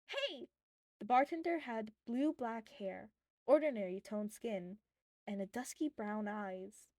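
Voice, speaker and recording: A woman's voice: mid-range voice, a little slow, darkish tone, no room sound, studio quality. A woman reading fan fiction aloud as scripted, audiobook-style narration.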